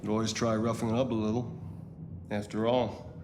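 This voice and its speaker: An actor playing a cocky, scornful police officer in a video game, sarcastic and dismissive. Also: male voice, lowish voice, flat delivery, a little fast, background noise, lightly acted. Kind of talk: video game cinematic acting. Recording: echoey room with clear background ambience.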